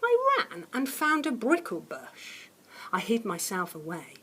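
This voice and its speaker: Woman, children's story read-aloud, slow unhurried pace, lively intonation, dramatic teacherly delivery. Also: fairly high pitch, slightly rough. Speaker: primary school teacher reading a story to children. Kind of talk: expressive children's picture-book read-aloud.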